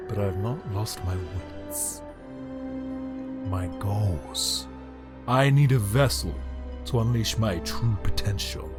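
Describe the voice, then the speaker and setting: A man playing a bitter, megalomaniac ancient emperor without a body, delivering a grandiose, theatrical monologue with dramatic pauses in an audio drama. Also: fairly low pitch. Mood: brooding, resolute. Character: bitter, megalomaniac male emperor, ancient disembodied time-travelling being.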